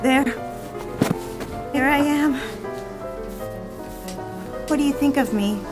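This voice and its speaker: A woman's voice: sits high, slow. An actress playing an anxious, submissive young secretary in love with her boss, in a romantic audio drama with pleading, formal speech. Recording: music behind the voice.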